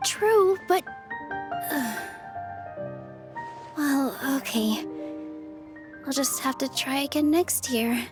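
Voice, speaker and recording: Earnest young helper girl, game drama, high pitched, caring. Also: animated, slow pace, pauses often, over music. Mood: resigned. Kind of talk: dramatic video game voice acting.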